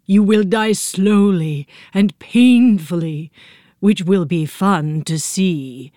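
A woman voicing a crowd of sneering, joking egg-yolk invaders, slow and gloating. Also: lowish voice, mellow, no echo, clean studio sound. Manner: gleeful, mocking, drawn-out.

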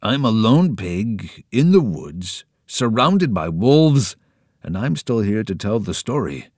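A man's voice: lowish voice, very animated, slow pace, darkish tone, no room sound, studio quality, pitched above the performer's own voice, full character voice. A man voicing a brainy, self-pleased talking pig. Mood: boastful.

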